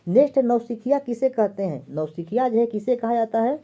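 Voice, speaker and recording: Woman exam tutor, very dark voice, muffled lo-fi audio, goes through answers and repeats each one. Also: a little high, animated, a little fast. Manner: repeats each question and answer several times. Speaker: exam prep teacher. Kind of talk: answer key walkthrough.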